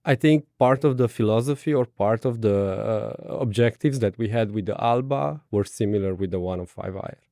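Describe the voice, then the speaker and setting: Low, mellow man with a Romanian accent. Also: dry recording, studio quality. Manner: brief, measured technical answers. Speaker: guest. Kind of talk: product interview answers.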